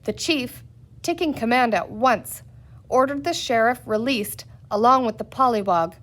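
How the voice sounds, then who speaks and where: A woman reading a children's book aloud as a storyteller, in an expressive style. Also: on the high side, a little slow. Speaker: story reader.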